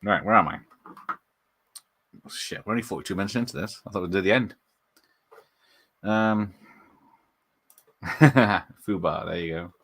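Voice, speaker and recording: Casual watch-party host: a man with a slightly low voice who rambles through film trivia and talks back to his chat. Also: unhurried, long pauses, a bit bright, breathy edge. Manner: rambling, chatty, laughs often, reads out chat comments. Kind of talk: casual live movie commentary.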